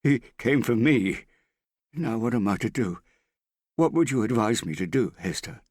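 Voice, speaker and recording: A man's voice: mid-range voice, lively intonation, normal speed, no echo, studio quality, pitched above the performer's own voice, close to an everyday voice. Man acting an agitated, paranoid man in hiding. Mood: anxious pleading. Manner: agitated, suspicious, pleading, prone to shouting. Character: paranoid, shaky, hard-drinking frightened man in hiding. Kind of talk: audiobook character acting.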